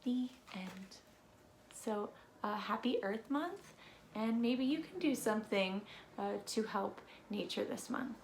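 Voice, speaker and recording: Slow, high-voiced woman reading a children's storybook. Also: lively intonation. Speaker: read-aloud presenter. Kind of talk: expressive children's storybook read-aloud. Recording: echoey room with faint noise.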